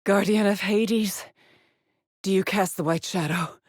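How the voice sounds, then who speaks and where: An actress playing a haunted, driven female astronaut in a video game, brooding and introspective in measured solitary log monologues. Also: medium pitch, steady tone, dry recording, clean studio sound, natural voice. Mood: uneasy wonder. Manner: slow, measured, brooding delivery with pauses.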